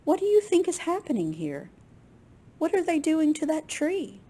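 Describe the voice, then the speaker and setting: A woman with a slightly husky, gravelly voice reading a picture book aloud to young children in an expressive way. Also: high voice, moderate pace. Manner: expressive, with gasps and questions to young listeners. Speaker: children's librarian storyteller.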